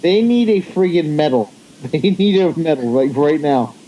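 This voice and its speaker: A young man reacting to a fanfic reading on a livestream with loud, incredulous heckling. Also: a little high, expressive, moderate pace, muffled recording, a little hiss or hum. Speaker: co-host commenting on the reading.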